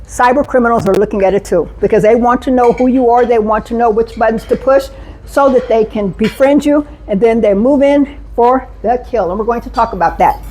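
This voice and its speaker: A woman speaks from the front of a conference room, her voice pitched a little high. She talks with energy and keeps the audience engaged, often pausing to put questions to the room. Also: dark tone, poor audio. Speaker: safety speaker. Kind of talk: live conference talk to an audience.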